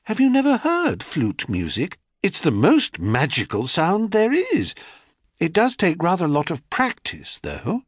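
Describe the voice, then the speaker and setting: A man voicing a gentle, doting mother who soothes her son, soft and a bit flustered. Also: high-pitched, wildly swooping pitch, unhurried, husky, no room sound, clean studio sound, voice pushed up, exaggerated voice. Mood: soothing.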